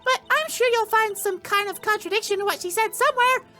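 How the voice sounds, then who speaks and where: Game sidekick, perky young girl played by a man, squeaky sky-high falsetto, bright and eager. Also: fairly quick, soft background music, exaggerated voice. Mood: eager, encouraging.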